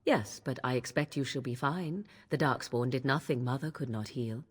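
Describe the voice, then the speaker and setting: A woman's voice: extremely low pitch, normal speed, slightly rough. An actress playing a cool, disdainful young witch in a video game, with dry, formal, archaic phrasing. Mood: dry, aloof.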